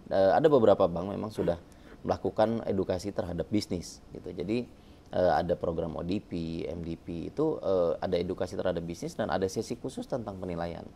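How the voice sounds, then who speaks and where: A man's voice: sits low, brisk, gravelly, warm tone, slight background noise. A man talking as a guest about bank appraisal work, casual and jokey with many fillers. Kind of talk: casual panel talk.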